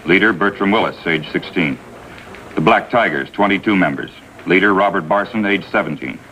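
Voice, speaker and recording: A man's voice: low voice, normal speed, bright, forceful tone. A man playing a matter-of-fact police officer in a 1950s TV drama, clipped and factual, with an American accent. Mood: matter-of-fact briefing. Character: brisk, matter-of-fact male juvenile division police officer. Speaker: supporting actor. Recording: faintly noisy vintage TV soundtrack.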